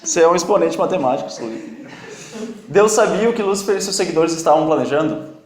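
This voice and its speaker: A male Bible teacher speaks with a strong foreign accent, his voice sitting fairly high. He keeps the class involved with questions and light teasing. The sound bounces around an echoey church room. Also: lively intonation, quick pace, crowd noise. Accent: strong non-native accent in Portuguese. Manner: jokey, quizzes and teases students.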